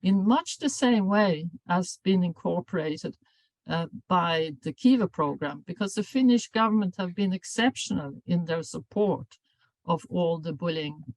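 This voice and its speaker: An older woman, a professor, giving an academic seminar lecture in a measured, formal way, often correcting herself mid-sentence. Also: lowish voice, a little mellow, dry recording, rough recording. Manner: measured, formal delivery with frequent self-corrections. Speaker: professor and anti-bullying researcher.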